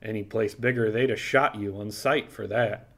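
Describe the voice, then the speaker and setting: A man plays a gruff male crew member in an audiobook story. He is exasperated and grimly resigned, muttering his curses. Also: on the low side, fairly even intonation, moderate pace, fairly bright tone. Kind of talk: audiobook character dialogue.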